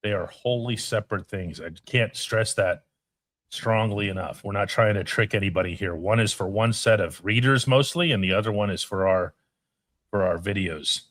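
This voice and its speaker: Firm low-voiced male podcast host. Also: normal speed, fairly bright tone, no echo. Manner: firm, emphatic, repeats himself for stress. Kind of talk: live podcast chat reading viewer comments.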